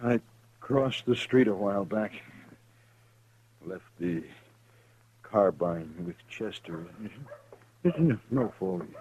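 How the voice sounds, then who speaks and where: An actor playing a dying frontier lawman who speaks slowly and weakly in a 1950s radio western. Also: on the low side, lively intonation, a little mellow, slightly rough, exaggerated voice. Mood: fading. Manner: slow, faint, halting. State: dying, weak. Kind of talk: 1950s radio drama acting. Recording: dry, muffled vintage radio recording.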